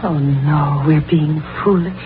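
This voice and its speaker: An actress playing an alluring mistress, coy, cool and calculating, in 1940s radio drama style. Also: female voice, deep voice, steady tone, slow. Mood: yielding. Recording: tinny, noisy old radio recording.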